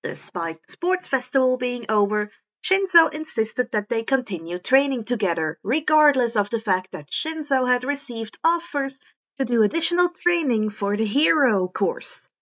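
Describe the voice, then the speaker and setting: High-voiced woman narrating, slightly muffled recording. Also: big pitch swings, moderate pace, a bit bright. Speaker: podfic narrator. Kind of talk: expressive scripted fan fiction narration.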